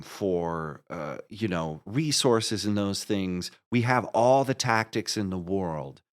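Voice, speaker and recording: A man with a fairly low voice talks as a guest in a casual interview. He rambles and halts, often breaking off and starting his phrases again. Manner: rambling, halting, self-interrupting. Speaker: interview guest, marketer and musical creator.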